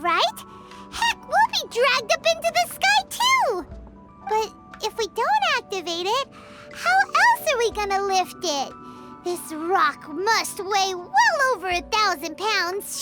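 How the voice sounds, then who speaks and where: Super squeaky little fairy girl, cheeky chatterbox, swoops all over, anime game style, music behind. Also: full character voice. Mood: worried. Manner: exclamatory, refers to self in third person. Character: small excitable chatty fairy companion girl, childlike and cheeky. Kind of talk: animated game voice acting.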